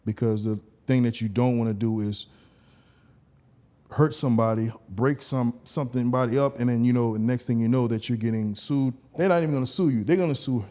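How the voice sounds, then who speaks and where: A man hosting a podcast, talking in a relaxed, conversational way with lots of "you know"s. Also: mid-range voice, a little fast. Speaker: podcast host.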